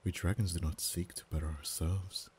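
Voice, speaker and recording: A man voicing an ancient, proud, possessive male dragon in an ASMR roleplay, speaking softly and slowly, sometimes in whispers. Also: little pitch movement, a little hiss or hum, natural voice. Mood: musing. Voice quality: very deep, soft-spoken. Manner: slow, measured, drops to whispers.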